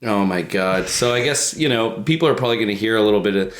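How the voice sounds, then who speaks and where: A man chats casually as a comedy podcast co-host. His voice sits a little low, and his delivery is wry and self-deprecating. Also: fast talker. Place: an apartment, remote connection.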